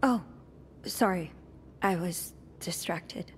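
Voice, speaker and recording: A young woman playing an earnest, nervous hotel maid in a video game, speaking haltingly. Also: fairly low pitch, slight background noise. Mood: nervous, guarded. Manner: hesitant, halting. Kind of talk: naturalistic video game acting.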